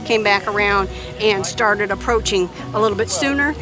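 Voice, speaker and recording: A woman from Indiana competes at an outdoor flying event and explains eagerly, rambling as she goes. Music plays clearly behind the interview. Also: mid-range voice, normal speed, rough recording. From Indiana, USA. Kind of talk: casual interview.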